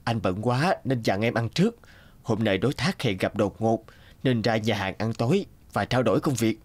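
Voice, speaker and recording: A man voicing a wealthy company director in a cartoon, smooth-talking, patronising and dismissive. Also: lowish voice, expressive, moderate pace, no room sound. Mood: evasive. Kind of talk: Vietnamese cartoon dubbing. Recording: guitar music under the voice.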